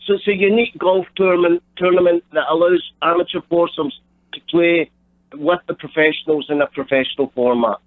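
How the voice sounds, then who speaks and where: Scottish charity guest on a phone line, somewhat high voice, explaining carefully. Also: male voice, fairly even intonation, a little fast, dry recording. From Scotland. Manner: explanatory, repeats words when searching. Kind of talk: radio phone interview.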